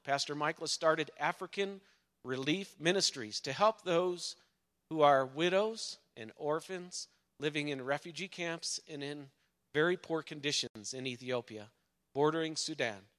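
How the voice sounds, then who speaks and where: A man in a church, introducing a guest pastor in a halting way with many pauses. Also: fairly high pitch, fairly bright tone. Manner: halting, many fillers, a little emotional. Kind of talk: church announcement. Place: church sanctuary.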